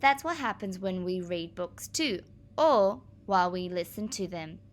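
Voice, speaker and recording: Slow-paced woman reading aloud to children. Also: lowish voice. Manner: clear, expressive, addressing young children. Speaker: primary school teacher reading aloud. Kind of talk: children's read-aloud with explanatory asides.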